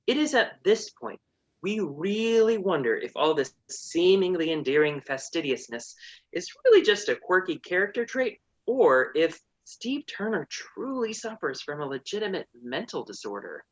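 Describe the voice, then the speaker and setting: A woman's voice: fairly low pitch, very animated, moderate pace, lo-fi sound. A woman narrating a scripted comedy with wry sass. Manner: wry, sassy.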